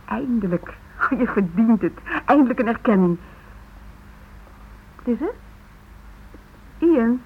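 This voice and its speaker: A woman's voice: a little high, lively intonation, slow, pauses often, slightly rough, heavily acted. An actress playing an eager, practical wife and mother in a radio play, speaking in a probing, coaxing way. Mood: eager, affectionate, then pressing. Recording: muffled old radio recording, faint noise.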